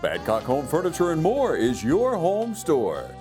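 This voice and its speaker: A man's voice: on the high side, huge pitch swings, measured pace, a little mellow, faint music behind. A man reading a scripted furniture store ad in a sing-song announcer style.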